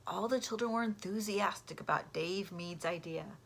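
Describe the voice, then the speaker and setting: A woman reading a children's storybook aloud like a teacher, in an expressive way that acts out the characters. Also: mid-range voice, moderate pace, vocal fry, a little hiss or hum. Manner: animated, acts out character voices.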